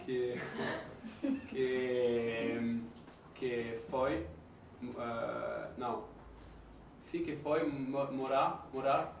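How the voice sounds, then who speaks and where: A man who is a beginner language student, hesitantly searching for words in very halting second-language speech. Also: mid-range voice, steady tone, vocal fry. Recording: echoey room, far from mic, faint noise.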